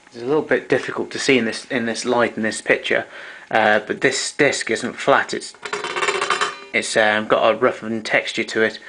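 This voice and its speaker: A man giving an informal technical demonstration in a hesitant, halting way, as a hobbyist showing equipment. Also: lowish voice, steady tone, pushed and bright, slight background noise, rough recording. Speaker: vintage tape recorder enthusiast demonstrating equipment. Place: indoors, small domestic room.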